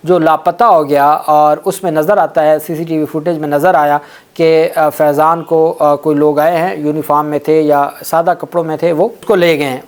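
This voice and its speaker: A man's voice: a little high, a little mellow. A man with a Pakistani accent, speaking as a legal commentator and explaining court news.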